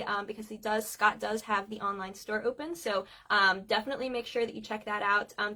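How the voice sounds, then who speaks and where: A woman hosting a cake decorating livestream in a relaxed, chatty way, filling pauses with ums. Her voice sits a little high, and she is losing her voice. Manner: chatty, many ums, reads out viewer comments. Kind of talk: casual livestream chat.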